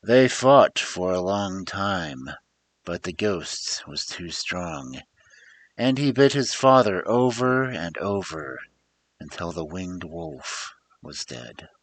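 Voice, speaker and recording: A man narrates a tale with a theatrical, emphatic storybook delivery. His voice sits very low and moves at an unhurried pace. The intonation rises and falls widely, as a dramatic storyteller's does. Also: lively intonation.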